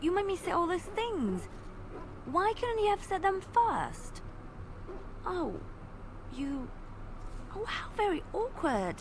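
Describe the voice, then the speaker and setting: A woman playing a gentle, bashful bard adventurer in a fantasy video game, earnest, hesitant and playful. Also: extremely high pitch, very animated, slow, long pauses, heavily acted. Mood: flustered, teasing. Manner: soft-hearted, hesitant, earnest. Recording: clearly noisy game playback.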